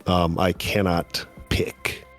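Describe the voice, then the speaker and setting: A man speaking as a guest in a casual live-stream chat, thoughtful and easygoing, pausing to search for his words. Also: low-pitched, completely flat delivery, a bit bright, soft background music. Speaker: podcast guest.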